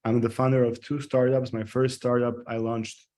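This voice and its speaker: A man's voice: mid-range voice, flat delivery, normal speed, darkish tone. A man from Albania introducing himself on a panel, earnest and sometimes searching for words. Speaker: panelist, startup founder.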